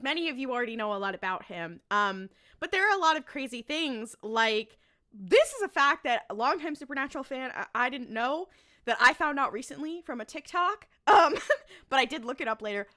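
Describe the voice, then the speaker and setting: A woman's voice: high voice, expressive, a little fast, bright, forceful tone. A woman on a podcast panel chatting casually as a fan making a case, emphatic and repeating phrases for effect.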